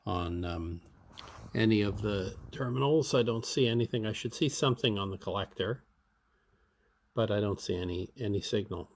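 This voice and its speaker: A man giving unscripted, step-by-step commentary as a hobbyist repairer, halting as he thinks aloud. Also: fairly low pitch.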